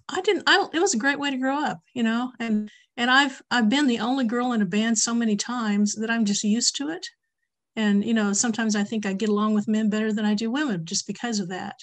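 A middle-aged woman on a video call, speaking casually as a panelist, chatty and laughing easily. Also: medium pitch. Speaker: panelist, bass player. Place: home office. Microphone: webcam on a video call.

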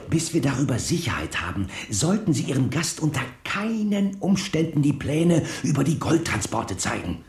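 An actor playing a suspicious, level-headed Wild West sheriff in a German children's audio drama, speaking in a measured, probing way. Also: male voice, on the high side, very animated, a little mellow, roomy sound. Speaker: sheriff and narrator.

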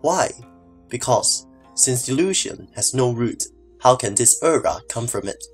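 A man playing an earnest, reverent male disciple in a scripture audio drama, speaking in a measured, formal way. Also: mid-range voice, lively intonation, husky. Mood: earnest, reasoning. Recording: background music under the voice.

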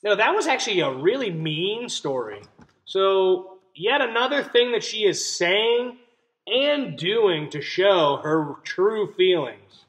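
A young man reading a children's picture book aloud as a teacher, expressively, pausing to explain and ask questions. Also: high voice, lively intonation, a bit bright. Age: young adult. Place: small indoor room. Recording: roomy, echoey room sound.

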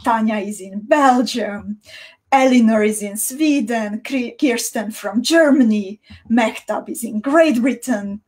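A woman in her thirties hosts a casual craft livestream in a Central European accent. Her voice sits fairly high and she takes her time, speaking slowly and cheerfully, with small halting repeats. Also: darkish tone. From Slovakia. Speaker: beading teacher and livestream host. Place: small indoor home studio room.

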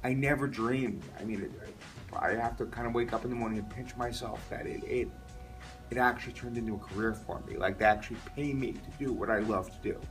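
A middle-aged man with an American accent gives casual interview answers, haltingly, repeating words as he thinks. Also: mid-range voice. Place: classroom or meeting room. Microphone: phone camera a few metres away. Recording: distant phone recording with music over it.

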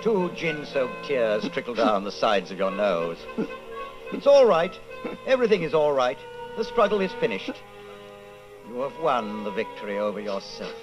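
An actor playing a solemn narrator in an old-time radio drama, telling the story theatrically. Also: male voice, medium pitch, very animated, normal speed, lo-fi sound, over music, natural voice. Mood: anguished, dramatic. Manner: theatrical, second-person storytelling. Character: solemn male radio drama narrator addressing listener as protagonist.

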